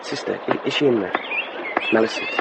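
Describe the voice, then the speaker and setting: A man's voice: lowish voice, creaky. An actor playing an earnest, awkward young man in a radio drama, hesitant and apologetic. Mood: nervous, hopeful. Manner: hesitant, halting. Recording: loud noisy vehicle-like ambience behind.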